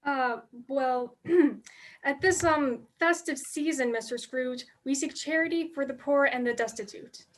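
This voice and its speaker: Woman as high-pitched hesitant charity collector. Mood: polite, hesitant. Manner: halting, formal. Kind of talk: amateur dramatic reading.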